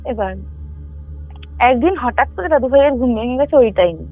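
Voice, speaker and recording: Lady on a phone line, high voice, telling a spooky story, keeps backtracking, music under it. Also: lively intonation. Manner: halting, repetitive, self-correcting. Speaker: caller telling a family ghost story. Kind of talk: casual spoken storytelling with frequent check-in questions.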